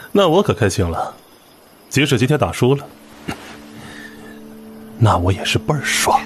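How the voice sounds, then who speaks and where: A man's voice: medium pitch, sing-song, a little slow, long pauses, noisy background. A young man playing a gleeful, mocking street brawler in a Chinese audio comic drama.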